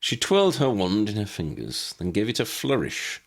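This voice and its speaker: A man's voice: fairly low pitch, wildly swooping pitch, moderate pace, vocal fry. A man reading a scripted story aloud as an audiobook narrator. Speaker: audiobook narrator reading own fan fiction.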